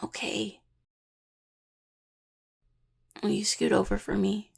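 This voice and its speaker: A woman's voice: mid-range voice, monotone, normal speed, creaky, darkish tone, husky, exaggerated voice. A woman acting in an audio roleplay as an anxious young student necromancer and devoted partner, stammering and earnest. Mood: tender, anxious.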